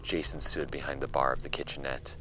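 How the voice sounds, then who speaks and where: An actor playing a case archivist who narrates eerie witness files in a measured, hushed, deliberate horror audio drama style. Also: male voice, rumbling low, little pitch movement, low-quality recording. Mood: hushed dread. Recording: music under the voice. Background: music.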